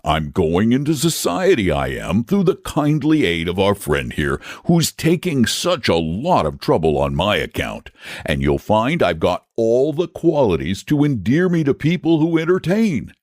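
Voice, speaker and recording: A man's voice: mid-range voice, very animated, unhurried, a little mellow, dry recording, studio quality, voice pushed up. A man voicing a lazy, genteel male dragon who drawls in a leisurely, fussy way. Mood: placid.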